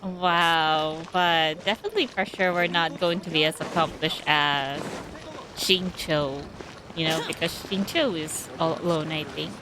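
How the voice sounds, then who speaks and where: A woman's voice: sits low, steady tone, measured pace, noisy background. A woman giving casual gameplay commentary, hesitant and often repeating her phrases as she thinks aloud. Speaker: game streamer.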